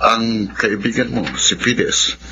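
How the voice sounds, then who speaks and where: A man plays an indulgent middle-aged Filipino father in a radio drama. His voice is fairly low. The sound is dull, with clear background noise. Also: slow. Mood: kindly. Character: kindly, indulgent father of a grown son.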